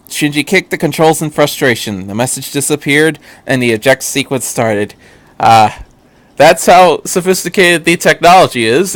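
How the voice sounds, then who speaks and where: A man's voice: medium pitch, fairly bright tone, dry recording. A man reading fan fiction aloud as an amateur narrator of a scripted story.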